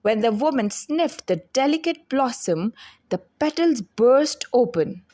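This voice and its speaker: Female storyteller for children's read-aloud. Animated, deliberate delivery, slightly high register. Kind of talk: scripted children's fairy tale read-aloud.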